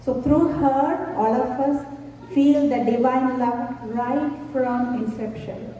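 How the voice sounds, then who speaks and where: A woman from Sri Lanka delivers a devotional talk at a slow, measured pace. Her voice is dark and mellow, a little high for her sex. It reaches the mic from a distance, in a hall with long reverb and a dull, lo-fi sound. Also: steady tone. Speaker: invited speaker at a devotional gathering. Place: large hall. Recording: echoey hall from far off, dull, faint hiss.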